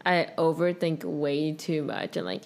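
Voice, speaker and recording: A woman's voice: deep voice, animated, unhurried, gravelly, darkish tone. A young woman co-hosting a podcast, chatting casually and rambling with lots of "like". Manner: rambling, heavy use of "like". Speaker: podcast co-host. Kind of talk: casual chat.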